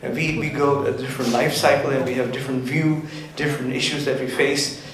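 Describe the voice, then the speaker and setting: A man moderating a panel discussion at a community event. He talks haltingly, with many restarts and fillers. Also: medium pitch, steady tone. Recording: very echoey hall with some noise.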